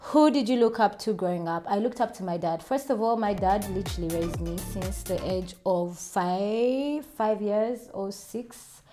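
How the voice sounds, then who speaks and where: A woman's voice: medium pitch, unhurried, hardly any pauses, dark tone, soft background music. A woman with a Ugandan accent, chatting casually and self-assuredly as she answers viewer questions in a vlog. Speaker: vlogger.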